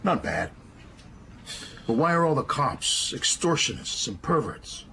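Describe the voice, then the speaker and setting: Gravelly veteran American detective. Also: male voice, medium pitch, very animated, a little slow, sharp tone, slight background noise, exaggerated voice. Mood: concerned, plain. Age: 50s. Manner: hesitant, plain-spoken. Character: gruff, considerate veteran American homicide detective. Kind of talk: radio drama acting.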